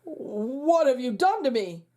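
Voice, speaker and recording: A proper, polite male school principal from a children's storybook, speaking with formal courtesy, as a woman reads him aloud. The voice stays fairly close to her own, with lively pitch movement. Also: slow pace, heavily acted.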